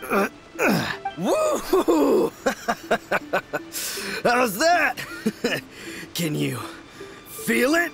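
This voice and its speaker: An actor voicing a swaggering, boastful man obsessed with manliness, halting and exclamatory, in a video game. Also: extremely high pitch, huge pitch swings, vocal fry, over music, full character voice. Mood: manic bravado. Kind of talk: English game dub voice acting.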